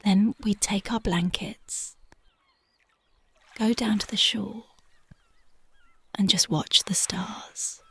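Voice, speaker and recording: A voice actress playing a young punk girlfriend, speaking softly and whispering in a dreamy, intimate, affectionate ASMR roleplay close to the mic. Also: mid-range voice, steady tone, slow pace, long pauses, breathy edge, no room sound, heavily acted. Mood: tender dreamy. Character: young punk woman, tender devoted girlfriend.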